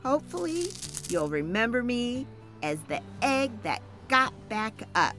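Woman reading a kids book out loud, bouncy up and down voice, unhurried, little laughs and sighs. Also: fairly high pitch, over music. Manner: animated first-person narration with soft laughs and sighs. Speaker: children's book reader. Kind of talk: expressive read-aloud storytelling.

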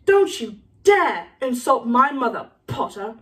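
A woman's voice: sits high, lively intonation, far from the mic. A woman voicing a spiteful teenage schoolboy bully from a storybook, taunting with a mocking sneer. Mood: offended. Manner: taunting, drawn-out mockery.

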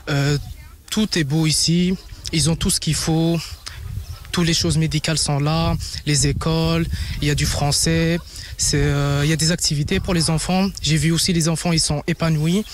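A man's voice: on the high side, slight background noise. A seventeen-year-old male student, answering questions in an outdoor interview. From Belgium. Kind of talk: on-camera interview. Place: outdoors in a garden courtyard. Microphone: handheld interview microphone.

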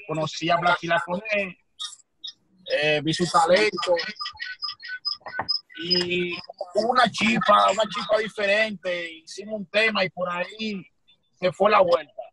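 A man's voice: high-pitched, fairly even intonation, unhurried, bright, forceful tone, rough recording. A young man, an urban music artist, talking casually over a phone video call from inside a car, stammering at first then flowing. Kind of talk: casual interview answers.

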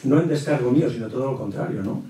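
Echoey, mellow middle-aged Castilian man lecturing. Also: medium pitch, quick pace, a little hiss or hum. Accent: Castilian Spanish. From Zaragoza, Spain. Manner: conversational, frequent tag questions. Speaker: university professor, guest lecturer. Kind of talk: informal opening of a public lecture. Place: lecture room at a table. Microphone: gooseneck table mic, distant pickup. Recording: echoey hall, distant microphone.

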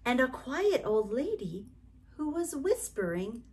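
A middle-aged woman reads a bedtime picture book for little ones. Her delivery is very unhurried and gentle, with pauses between phrases. The pitch rises and falls widely in a singsong storytelling way. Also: very animated, slight background noise. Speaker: bedtime story reader and host. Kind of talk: reading a picture book aloud to young children. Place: small bright room at home, indoors.

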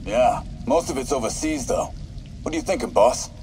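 An actor playing a deferential, slightly slow gangster's henchman in a video game. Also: medium pitch, lively intonation, darkish tone, slight background noise, natural voice.

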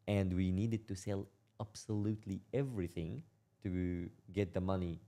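Young syrian man, interview guest, deep dark voice, halting delivery with repeats. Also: steady tone. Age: young adult. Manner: halting, repeats words, laughs while telling hard stories. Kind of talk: casual interview storytelling.

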